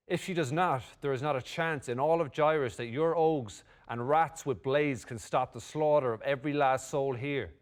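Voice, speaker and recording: A man playing a blunt, confident envoy in a fantasy table read, speaking in measured, formal speeches. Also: medium pitch, lightly acted. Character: confident, flirtatious male envoy, blunt and unflinching.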